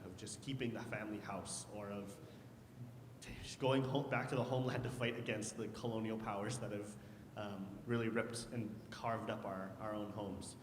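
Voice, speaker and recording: A man speaking as a panelist, casual and joking, often repeating words, in a hall. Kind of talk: panel talk. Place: hall, a former church. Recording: echoey hall with faint rumble.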